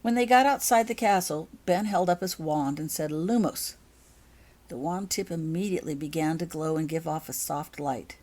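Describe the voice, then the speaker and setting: A woman's voice: deep voice, normal speed, hardly any pauses, darkish tone. A woman narrating a story as its author, in an audiobook reading style.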